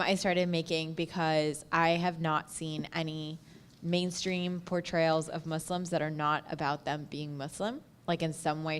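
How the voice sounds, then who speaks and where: Woman, lowish pitch, casual panel storytelling, informal phrasing, frequent "like", podcaster. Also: clear, resonant tone.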